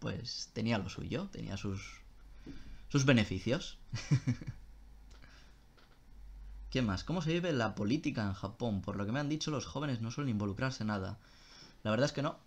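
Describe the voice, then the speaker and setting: A man's voice: mid-range voice, expressive, measured pace, gravelly, pauses often. A young man chatting casually on a live stream, answering viewer questions in a loose style with swearing and self-interruptions.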